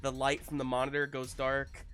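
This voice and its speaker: Halting male game master with music behind. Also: mid-range voice, monotone, a bit bright. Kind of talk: improvised narration and rules talk in a live tabletop game.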